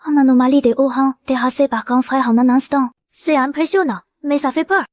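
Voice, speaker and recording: A woman voicing a clingy, earnest little-sister ghost girl in an animated series. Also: high voice, brisk, noisy background, natural voice. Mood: childlike worry. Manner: childlike, earnest. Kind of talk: French-language animated series acting.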